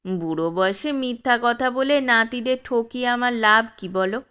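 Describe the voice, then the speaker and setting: A woman's voice: a little high, normal speed, a bit bright, clear, resonant tone, lightly acted. A woman voicing an elderly grandfather closing his tale to his grandchildren. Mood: earnest.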